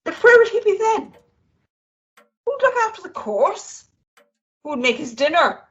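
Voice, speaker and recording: Woman doing a fed up stable hand muttering to herself in a radio play, resentful, slow, swoopy voice. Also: very high voice, sing-song, lo-fi sound, heavily acted. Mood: resentful, rueful. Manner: grumbling, rueful. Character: put-upon, resentful but devoted female stable worker.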